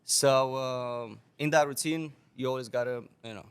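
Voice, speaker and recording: A man's voice: medium pitch, normal speed, clean tone. A young man from Romania, a guest bartender, telling stories on a podcast in casual, swearing, laughing speech. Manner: animated, swears a lot, laughs often.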